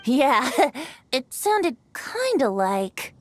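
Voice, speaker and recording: A woman's voice: high voice, sing-song, very slow, full character voice. A woman voicing a youthful, boyish bard in an anime game, playful and breezy, with a lilting storyteller's flourish. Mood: amused storytelling. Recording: faint music behind the voice.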